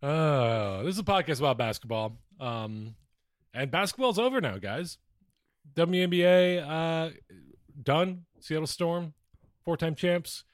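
A man's voice: on the high side, animated, a little slow, dry recording, studio quality. Joking man hosting a casual sports podcast. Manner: joking, crude. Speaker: podcast host.

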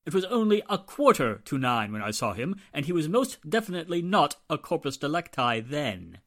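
An actor playing a nervous, prissy, evasive murder suspect in a 1940s-style radio drama, flustered and theatrically precise. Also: male voice, fairly high pitch, very animated, moderate pace, a bit bright. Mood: smug.

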